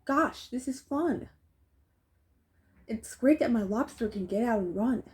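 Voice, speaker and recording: A woman's voice: a little high, expressive, unhurried, darkish tone. A woman voicing a cheerful, innocent young boy in a storybook, earnest and exclamatory.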